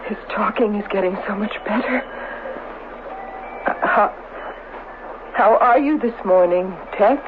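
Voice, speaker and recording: An old, noisy, narrow-band radio recording of an actress as an anxious woman facing something monstrous. She speaks hesitantly and dramatically, pleading in a mid-pitched voice. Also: measured pace, long pauses, lightly acted. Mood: cautious, softening. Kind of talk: 1970s radio drama acting. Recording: noisy, thin 1970s radio broadcast.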